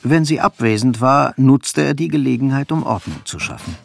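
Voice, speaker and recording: A man giving measured, scripted literary narration for a radio drama. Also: medium pitch, animated, gravelly. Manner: measured, storytelling. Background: faint music.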